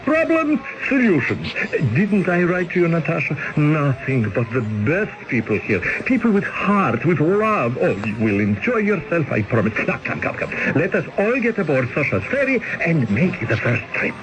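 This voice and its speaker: An actor playing an excitable, doting exiled Russian husband in a radio drama, effusive and stammering. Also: male voice, on the high side, moderate pace, noisy background. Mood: eager, joyful. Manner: stammering, effusive, punctuated with eh and huh. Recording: muffled lo-fi radio drama broadcast.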